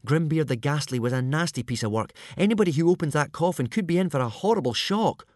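A man's voice: mid-range voice, moderate pace, breathy edge, no room sound, clean studio sound, voice pushed up, close to an everyday voice. A man reading aloud, voicing a nervous, hesitant young Viking boy.

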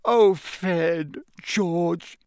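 A man reading an audiobook, voicing a middle-aged mother who is high-pitched, tearful and frantic with worry. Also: expressive, slow, gravelly, no room sound, studio quality, exaggerated voice.

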